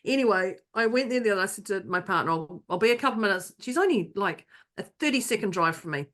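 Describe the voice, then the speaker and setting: A woman co-hosting a podcast who tells stories in an animated way, with frequent restarts and repeated words. She slips into other people's voices when quoting them, in plain casual chat. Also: medium pitch, quick pace. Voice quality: not calming, by her own account. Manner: animated anecdotes, many restarts, acts out others' lines. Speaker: podcast co-host.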